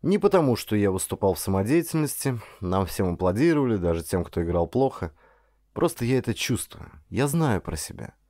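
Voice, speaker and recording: A man reading a first-person story as an audiobook narrator, in a dramatic, expressive way with varied intonation. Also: on the low side, a little fast, no echo, studio quality.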